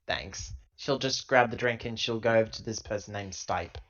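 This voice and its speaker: A man's voice: lowish voice, steady tone, a little fast, a bit bright, highs cut off. A man who is a tabletop roleplaying player, casually narrating what his character does.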